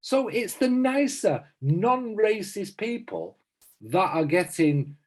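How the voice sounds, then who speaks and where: 50s guy from south manchester, husky voice, bit high, really lively and emphatic. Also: takes it slowly. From south Manchester, England. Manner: emphatic, opinionated, repeats himself to stress points. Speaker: podcast co-host. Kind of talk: casual chat.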